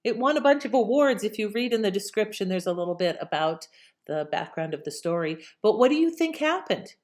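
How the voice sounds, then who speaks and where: A woman's voice: mid-range voice, lively intonation, brisk. A woman with a mid-range voice giving casual commentary as a channel host. She speaks conversationally and inquisitively, putting questions directly to the listener.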